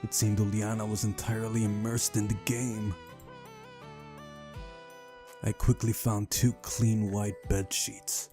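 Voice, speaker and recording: Low-voiced man narrating over music. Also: flat delivery, moderate pace. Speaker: visual novel playthrough narrator. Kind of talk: reading story narration aloud.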